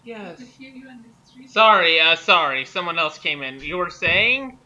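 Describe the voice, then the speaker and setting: Male guest commentator, high and animated, for informal banter. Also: slow, lo-fi sound. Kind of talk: casual chat.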